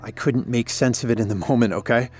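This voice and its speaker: An actor playing a guilt-ridden, defensive former park warden in an audio drama, telling things in a weary, halting way. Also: male voice, medium pitch, steady tone, a little mellow, slightly rough, faint music behind, natural voice. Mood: defensive, frustrated. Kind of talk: cinematic audio drama acting, naturalistic.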